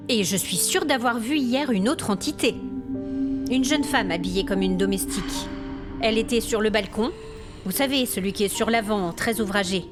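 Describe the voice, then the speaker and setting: Woman acting a high-voiced, indignant hotel guest. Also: lightly acted. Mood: agitated, recounting. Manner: haughty, aggrieved, demanding. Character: indignant, exasperated female hotel guest complaining about ghosts. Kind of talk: acted audio fiction monologue, one side of conversation.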